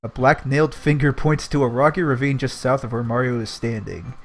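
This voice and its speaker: A man's voice: mid-range voice, fairly even intonation, brisk. A man co-hosting a live read-along, switching between reading a storybook aloud and casual banter. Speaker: co-host reading a gamebook aloud.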